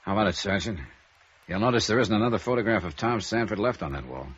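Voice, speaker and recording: An actor playing a sardonic, hard-boiled American insurance investigator in a 1950s radio detective drama. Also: male voice, lowish voice, animated, fast, fairly bright tone, breathy edge. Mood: probing, skeptical. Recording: dull vintage radio recording with music.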